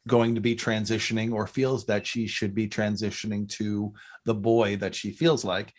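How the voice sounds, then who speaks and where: A middle-aged man chatting casually as a podcast guest, telling rambling, animated stories. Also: lowish voice. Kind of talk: casual interview chat.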